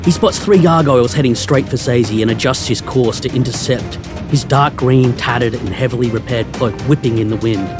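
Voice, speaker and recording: A man's voice: mid-range voice, a little fast. A man reading descriptive scripted narration for a fantasy audio drama. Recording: prominent music bed behind narration. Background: music.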